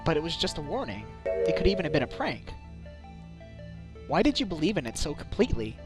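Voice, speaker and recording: Man playing a lively, wry defense attorney. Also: on the high side, brisk. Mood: skeptical. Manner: questioning, assertive, wry asides. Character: wry, determined male defense attorney, younger adult. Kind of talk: video game fandub acting. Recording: amateur home recording under game music.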